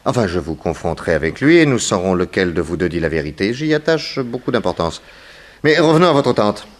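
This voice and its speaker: Shrewd police investigator, vintage French radio play. Lively melodic swings, somewhat deep, insistent and commanding. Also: male voice, brisk. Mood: probing. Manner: pointed, authoritative questioning. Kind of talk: 1960s French radio drama acting.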